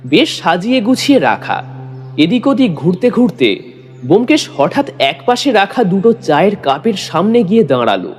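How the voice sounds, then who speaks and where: Expressive higher-voiced male story narrator. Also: normal speed, soft background music. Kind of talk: dramatic scripted narration.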